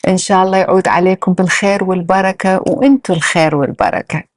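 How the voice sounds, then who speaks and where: A middle-aged woman from Iraq whose native language is Arabic, telling her own story as an interview guest in a halting, measured way. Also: on the low side, steady tone, no echo, clean studio sound. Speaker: podcast guest, senior lecturer.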